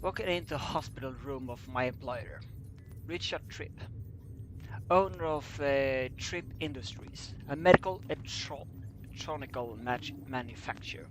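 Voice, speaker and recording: A man reading a scripted story aloud as an amateur narrator, halting and stumbling over his words. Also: mid-range voice, background noise. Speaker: amateur fan fiction reader.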